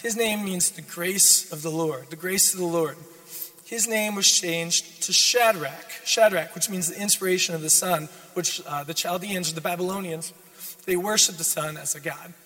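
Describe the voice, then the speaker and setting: Hoarse young male preacher, high voice, long church reverb, repeats key lines. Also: normal speed, bright, forceful tone. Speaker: guest preacher. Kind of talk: sermon. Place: church sanctuary.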